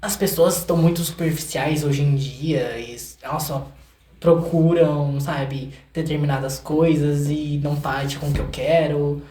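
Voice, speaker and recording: An echoey room carries the voice of a young Brazilian man with a fairly flat, light delivery. He chats casually as an interview guest, informal and a little self-deprecating about himself. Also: fairly high pitch, a little slow. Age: young adult. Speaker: interview guest, singer-songwriter. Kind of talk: casual chat.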